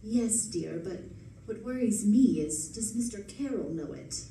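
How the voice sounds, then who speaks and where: Woman playing a dark-voiced, fretful detective's wife. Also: medium pitch, a little slow, creaky. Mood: worried. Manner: earnest, fretful, expressive. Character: anxious, affectionate detective's wife. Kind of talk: old-time radio drama acting. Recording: echoey live stage, distant mic, faint noise.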